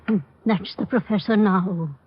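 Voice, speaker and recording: A woman's voice: mid-range voice, a little slow, slightly rough. A woman playing a receptionist assistant to a professor in an old radio drama. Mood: polite. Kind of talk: 1940s-50s radio drama acting. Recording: dull vintage radio recording.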